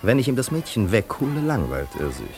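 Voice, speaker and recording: An actor playing a wealthy, self-important, uneasy party host in a 1950s German radio play, earnest and touchy. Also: male voice, mid-range voice, big pitch swings, fairly quick, darkish tone.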